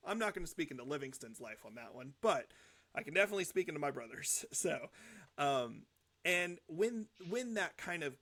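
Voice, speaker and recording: A man's voice: a little high, sing-song, moderate pace, fairly bright tone. A young man hosting a music reaction show, talking casually in an earnest, reflective, rambling way. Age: young adult.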